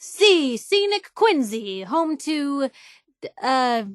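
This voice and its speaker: A woman's voice: high voice, very animated, slow pace, no room sound, clean studio sound, heavily acted. An actress playing a sardonic, plucky young woman reporter in a video game, with wry, conversational quips. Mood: wry, playful. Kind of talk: video game companion voice acting.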